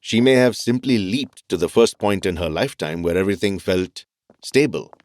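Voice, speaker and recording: An actor playing a thoughtful, reassuring doctor who explains things calmly in an audio drama. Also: male voice, low voice, no echo, natural voice. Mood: reflective, explaining. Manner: measured, explanatory, calm. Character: thoughtful, reassuring male doctor and family mentor.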